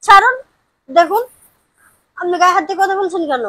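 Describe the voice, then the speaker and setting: A woman plays a female parcel courier in an amateur audio drama. Her voice is very high and recorded close and dry. She is hurried and insistent, protesting with indignation and standing her ground. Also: lively intonation, sharp tone, dry recording, full character voice. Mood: angry, indignant.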